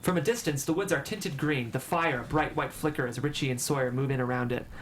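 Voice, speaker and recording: A man's voice: mid-range voice, brisk, a little hiss or hum. A man reading stage directions as narrator at a table read, with dramatic storytelling delivery.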